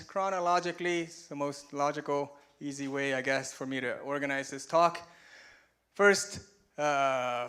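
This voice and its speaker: A man's voice: on the high side, sharp tone, clean tone. A man in his forties from Taiwan gives a chatty, self-deprecating conference talk with slides and chuckles at his own jokes. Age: 40s. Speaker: conference speaker. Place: stage in a conference room.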